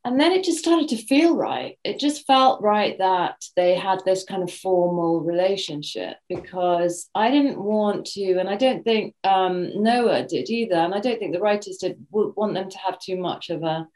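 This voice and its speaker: A woman's voice: mid-range voice, moderate pace, hardly any pauses, darkish tone, rough recording. A woman from New Zealand with a British RP accent, an actress thoughtfully answering interview questions and searching for her words. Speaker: actress guest.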